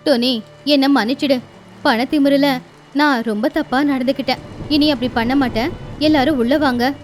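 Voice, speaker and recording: A woman's voice: sits high, steady tone, moderate pace, faint music behind, natural voice. A woman voicing a stern female crow moneylender in a cartoon moral story. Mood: apologetic, kind.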